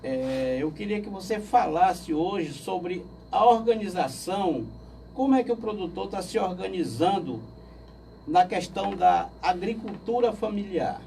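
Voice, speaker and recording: A man's voice: high voice, expressive, a little slow, creaky, mellow. A man hosting a weekly web interview, formal in greeting and loose in his questions. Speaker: interview host. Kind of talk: interview presenting. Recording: distant mic with faint noise.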